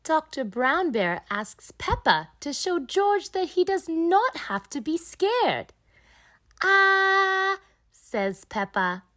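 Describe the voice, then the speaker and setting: Slow, animated woman reading a storybook. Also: high voice. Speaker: storybook reader. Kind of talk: expressive children's read-aloud narration.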